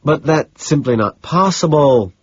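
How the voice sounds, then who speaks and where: A man's voice: mid-range voice, big pitch swings, normal speed, slightly rough, dry recording, dull audio, voice pushed up. A man voicing a smug, dismissive, upper-class socialite with an affected, condescending drawl.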